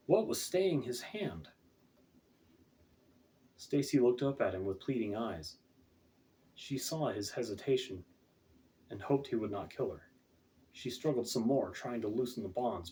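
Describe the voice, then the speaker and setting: A man's voice: on the low side, warm tone, a little hiss or hum. A man reading his own fantasy fiction aloud as scripted audiobook narration. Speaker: author reading own book. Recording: distant, off-mic home recording.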